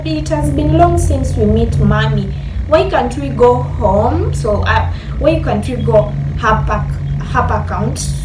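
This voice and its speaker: A woman with a Kenyan accent casually telling her story to camera, haltingly, searching for words and slipping into Swahili. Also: fairly high pitch. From Kenya, rural Nandi Hills. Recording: echoey, distant mic, noisy background.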